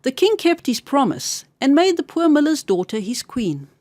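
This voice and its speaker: A woman reading a scripted fairy tale for children as a storyteller, expressive and carefully enunciated. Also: high-pitched, moderate pace, no echo.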